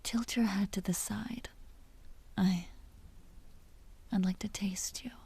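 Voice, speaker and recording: A woman playing a seductive, possessive vampire duchess in an intimate audio roleplay, teasing and genteel in a breathy whisper. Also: mid-range voice, steady tone, dark tone, exaggerated voice. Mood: hungry, commanding. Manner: slow, teasing, genteel and commanding.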